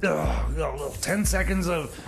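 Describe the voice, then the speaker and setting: A man playing a flustered, grumbling radio DJ, halting and repeating himself in rambling comedy banter. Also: on the high side, vocal fry, soft background music. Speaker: radio DJ and host.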